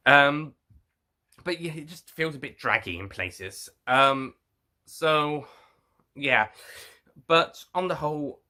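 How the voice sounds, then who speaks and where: Guy doing an off the cuff review, really bright forward voice, kinda rambly, keeps rewording stuff. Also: a little high. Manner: halting, rambling, often rephrases himself. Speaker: review show host. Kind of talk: unscripted video review, casual talk to viewers.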